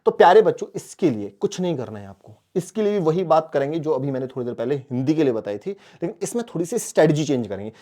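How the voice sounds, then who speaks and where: Guy, exam coaching teacher, talks really fast, emphatic, repeats stuff for his students. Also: on the high side, sing-song, mellow. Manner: emphatic, repeats key phrases; asks questions and answers them himself. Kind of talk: exam strategy lesson addressed to students.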